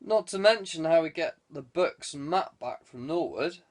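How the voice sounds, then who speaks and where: A man's voice: on the high side, expressive, normal speed, natural voice. A man voicing a blunt, dejected male conspirator who grumbles, in an audiobook. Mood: dejected grumbling. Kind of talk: audiobook character dialogue.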